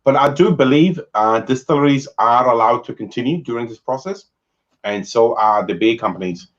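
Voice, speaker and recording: Male host, easygoing chatter, mid-pitched, slightly muffled lo-fi call audio. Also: moderate pace. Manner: relaxed, chatty, uses fillers. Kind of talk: casual chat over whisky.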